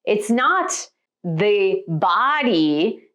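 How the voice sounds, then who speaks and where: An American woman gives a motivational spiritual talk with a very lively, varied pitch. Her delivery is conversational and emphatic, like a meditation coach explaining ideas straight to her viewers. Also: extremely slow. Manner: emphatic, conversational, with rhetorical check-in questions.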